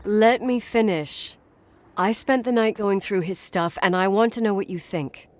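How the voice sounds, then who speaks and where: A woman's voice: medium pitch, a little slow, natural voice. An actress playing a stubborn, inquisitive young woman in naturalistic game dialogue, suspicious and uneasy, probing earnestly with some hesitation. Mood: serious, confronting.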